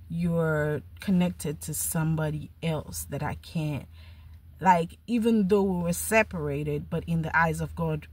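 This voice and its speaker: A woman's voice: on the low side, dry recording. A woman hosting a podcast, sharing a personal spiritual testimony in an earnest, reflective way, often repeating words as she starts a thought. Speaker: podcast host.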